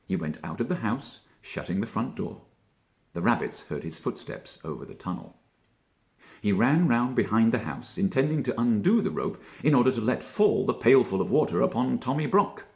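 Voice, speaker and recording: A man narrating a children's story theatrically, expressive and deliberate, drawing out key words. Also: medium pitch, vocal fry. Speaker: storybook reader, stage actor.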